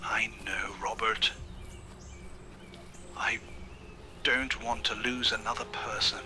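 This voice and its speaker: A man playing an idealistic, troubled park owner in a found-footage drama, speaking gravely and reflectively with deliberate phrasing. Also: lowish voice, fairly even intonation, over music. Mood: troubled, wistful.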